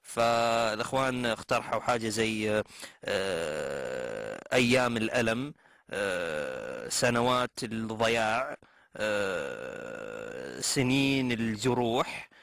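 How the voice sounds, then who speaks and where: A man playing a hesitant young cartoon man leading a meeting, his voice gravelly and slowed by drawn-out "uh"s. It sounds dry and close. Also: on the low side, big pitch swings, a bit bright, no echo, heavily acted.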